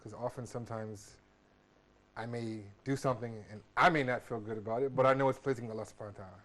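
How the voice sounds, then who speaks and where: A man's voice: mid-range voice, steady tone, quick pace, fairly bright tone. A man from Maryland, a regular panel guest, speaking casually with many restarts and repeated words. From Maryland, USA.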